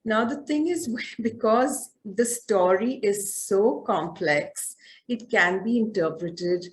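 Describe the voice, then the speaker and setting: A woman's voice: mid-range voice, slow, slight background noise, rough recording. A woman author telling a book club about her book in a loose, conversational way, full of fillers and restarts. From southern India. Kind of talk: casual talk.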